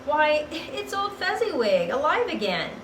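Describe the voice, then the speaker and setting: A woman's voice: high voice, very animated, a little slow, voice pushed up. A woman voicing an agitated, emotional old man with fervent, exclamatory delivery. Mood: excited. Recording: roomy, distant mic, noisy background.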